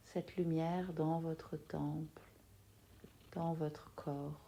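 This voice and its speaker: A woman with a low-pitched, mellow voice guides a relaxation practice. She speaks very slowly and softly, in short phrases with long pauses. Her manner is soothing throughout. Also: level tone, creaky, a little hiss or hum. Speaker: yoga nidra teacher guiding a relaxation. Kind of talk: guided meditation.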